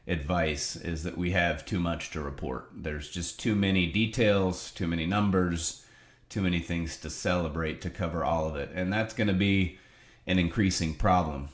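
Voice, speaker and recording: Deep-voiced man, nasal with a cold. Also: reverberant. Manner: upbeat, earnest, preacherly emphasis. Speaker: ministry leader giving a video update. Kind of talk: casual spoken update to supporters. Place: home office.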